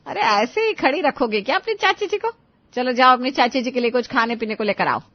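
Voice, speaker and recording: A woman voicing a sarcastic older mother-in-law who scolds and grumbles in an animated family story. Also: high-pitched, brisk, lo-fi sound. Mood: bossy, welcoming. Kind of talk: animated moral-story dialogue.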